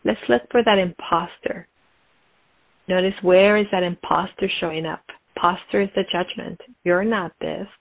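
A woman leading a guided meditation, speaking in slow, calm, repetitive prompts. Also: on the low side, gravelly, low-quality recording.

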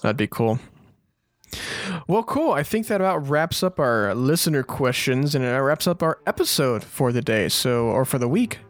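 A man's voice: medium pitch, very animated, moderate pace, dry recording. A man co-hosting a podcast in casual chat, informal and thinking aloud as he talks. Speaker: podcast co-host.

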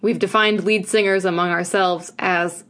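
A woman's voice: lowish voice, steady tone, normal speed, fairly bright tone. Chatty woman podcast co-host. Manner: chatty and gushing, many filler likes. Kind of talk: casual chat.

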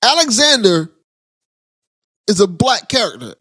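A man with a high-pitched voice hosts a relaxed conversation. He sounds appreciative and a little halting, repeating words as he begins. The recording is dry. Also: husky, dry recording. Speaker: podcast host. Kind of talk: casual interview chat.

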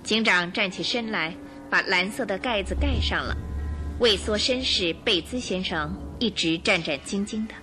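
A woman narrating a radio drama in an expressive, suspenseful storytelling manner. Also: mid-range voice, normal speed, over music.

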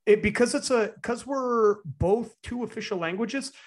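A Canadian man in casual chat, animated, laughing often and filling his talk with "like". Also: very high-pitched, moderate pace.

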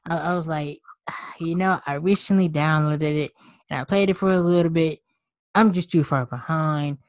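A man's voice: a little high, normal speed, no echo. A young man hosting a casual podcast, laid-back and joking. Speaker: podcast host. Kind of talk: casual chat.

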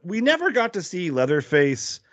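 American dude rambling on a podcast, pitch jumps all over, dry close sound. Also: male voice, huge pitch swings, moderate pace, fairly bright tone, no room sound. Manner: rambling, lots of fillers and restarts, laughs easily. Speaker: podcast guest. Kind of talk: casual podcast chat.

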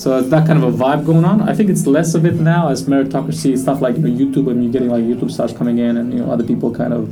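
A man's voice: mid-range voice, fairly even intonation, very fast, over music. A man in his thirties from Romania, a stand-up comedian chatting casually in an interview, jokey and swearing freely. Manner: jokey, swears casually, laughs at own jokes.

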